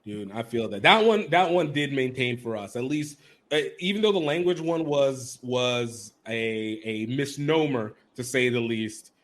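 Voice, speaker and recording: A man hosting a casual interview podcast. He chats in a lively, laughing way and acts out quoted voices with big jumps in pitch. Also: a little slow. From Nigerian family, raised in America. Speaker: podcast host.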